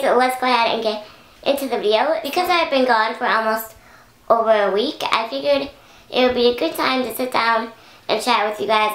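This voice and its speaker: A young woman vlogging to camera at home, hoarse and raspy from singing, chatting casually with lots of fillers. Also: on the high side, fairly bright tone, roomy sound, poor audio. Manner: chatty, rambling with many "like"s. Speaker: YouTuber. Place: indoor room at home. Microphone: camera close up.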